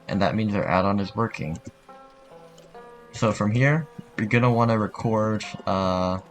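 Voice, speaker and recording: A man narrating a software tutorial in an informal, somewhat hesitant way. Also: on the low side. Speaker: tutorial host.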